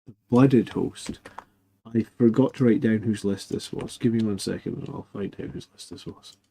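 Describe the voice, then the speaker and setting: Guy hosting a live stream, voice nearly gone so he talks soft, lots of ums and restarts. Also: lowish voice, normal speed, vocal fry. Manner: rambling, with many fillers and restarts. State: losing his voice, speaking quietly. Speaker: host of a live army list show. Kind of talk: casual live stream chat.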